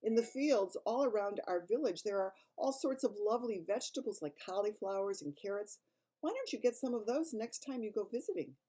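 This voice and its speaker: A woman voicing a polite, practical little girl who gently corrects her companion. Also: medium pitch, animated, a little fast, pitched above the performer's own voice, natural voice. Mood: eager.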